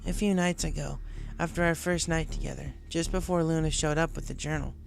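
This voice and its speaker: A man's voice: fairly high pitch, fairly even intonation, a little fast, creaky, slight background noise, voice pushed up. A man voicing a brash and loyal young female pony in a storybook reading. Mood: troubled, serious.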